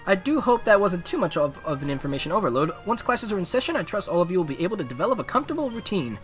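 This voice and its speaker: A man voicing a poised teenage student council president, reading a formal, measured speech from a visual novel. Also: sits high, big pitch swings, soft background music. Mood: formal, earnest.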